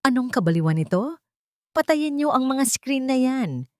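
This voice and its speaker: A woman's voice: high-pitched, very animated, moderate pace, no room sound, studio quality, voice pushed up. Woman acting haughty scheming older matriarch. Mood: outraged. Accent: Filipino.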